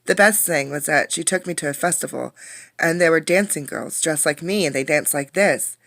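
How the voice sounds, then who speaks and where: A woman's voice: low-pitched, normal speed, gravelly, fairly bright tone, no room sound, exaggerated voice. A woman voicing an earnest young first-grade girl in a storybook, telling things simply.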